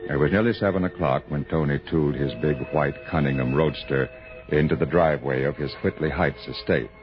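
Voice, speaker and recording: A man's voice: very deep voice, fairly quick. A man narrating a scripted 1960s radio drama in a florid, theatrical storytelling style. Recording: muffled old radio broadcast with music.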